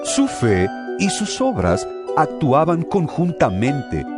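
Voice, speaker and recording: A man's voice: on the high side, wildly swooping pitch, slow, heavily acted. A man playing an earnest apostle in a dramatized scripture reading, exhorting his brethren with emphatic, rhetorical, admonishing oratory. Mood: challenging, scolding. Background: music.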